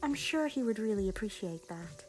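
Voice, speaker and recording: A woman voicing a gentle, doting mother pony in an animated fan drama, reassuring and motherly. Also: mid-range voice, normal speed, over music, close to an everyday voice.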